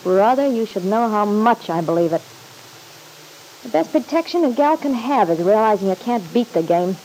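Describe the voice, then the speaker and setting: A woman's voice: medium pitch, lively intonation, normal speed, dark tone, lightly acted, rough recording. An actress playing a guarded, wisecracking young woman in an old-time radio soap opera, with slangy, breezy talk. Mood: defiant, flip. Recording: hissy vintage radio recording.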